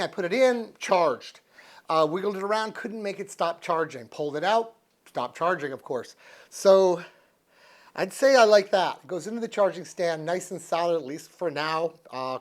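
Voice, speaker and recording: An American man in his sixties chatting casually and unscripted as he reviews a product, thinking aloud in a folksy, easygoing manner. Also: high-pitched. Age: 60s. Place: living room with couch, indoors.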